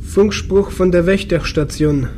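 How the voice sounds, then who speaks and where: A man's voice: high voice, unhurried, mellow. An actor playing a clipped, matter-of-fact male starship crew member giving reports in a German science fiction audio drama. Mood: businesslike. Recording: clear noisy spaceship ambience.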